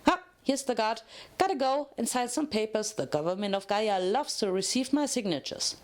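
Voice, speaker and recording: A woman's voice: fairly high pitch, lively intonation, normal speed, natural voice. A woman voicing a cheerful, chatty, naive pink pony inmate in a dramatic story reading. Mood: perky, chatty. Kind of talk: dramatic fan fiction reading.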